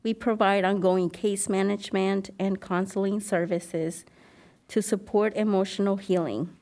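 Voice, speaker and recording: A woman getting over a cold, an elder abuse advocate giving an earnest prepared presentation at a podium mic in a council chamber, clearing her throat now and then. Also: mid-range voice, darkish tone. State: recovering from a cold. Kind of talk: formal presentation to a commission.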